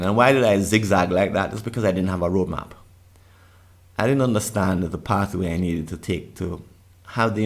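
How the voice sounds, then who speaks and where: A middle-aged man with a Jamaican accent, earnestly presenting a summit session and often restarting his phrases. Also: low voice. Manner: earnest, with frequent restarts and repeated words.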